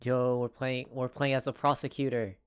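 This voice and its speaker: A man's voice: mid-range voice, level tone, normal speed, smooth. A man giving casual live commentary as a game streamer. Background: game music.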